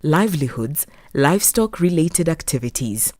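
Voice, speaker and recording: Woman, documentary narrator, scripted read, low pitch, dry close recording. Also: expressive, normal speed, a little mellow, no room sound.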